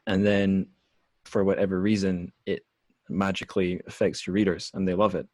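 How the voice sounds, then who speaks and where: Low-voiced man hosting a casual podcast. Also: level tone, moderate pace, darkish tone, dry recording. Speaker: podcast host. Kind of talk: casual interview chat.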